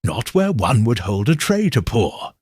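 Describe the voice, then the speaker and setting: Male reader as genteel old lady detective: soft-spoken, harmless-seeming, slow, lilting delivery. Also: medium pitch, big pitch swings, husky, no echo, clean studio sound. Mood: mild, curious. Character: gentle, sharp-witted elderly lady sleuth, deceptively harmless.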